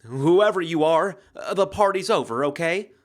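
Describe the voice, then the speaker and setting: A man's voice: fairly high pitch, lively intonation, moderate pace, pitched above the performer's own voice, close to an everyday voice. A man voicing a shaken, anxious woman shopkeeper in an audiobook, hesitant as she tries to sound firm. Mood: frightened, flustered. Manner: hesitant, stammering, trying to sound commanding. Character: shaken, anxious young widowed woman bookshop owner.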